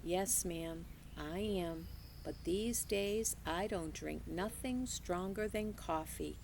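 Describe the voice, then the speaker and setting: A woman reading aloud as a wise, gentle old woman, speaking slowly in a soothing, folksy way. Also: on the low side, creaky, a little hiss or hum.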